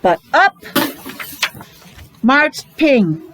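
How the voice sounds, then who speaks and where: A woman reading a picture book aloud to young children, expressive, adding explanations and questions along the way. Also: high-pitched, drawn-out words, noisy background. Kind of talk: animated read-aloud storytelling with asides to young children.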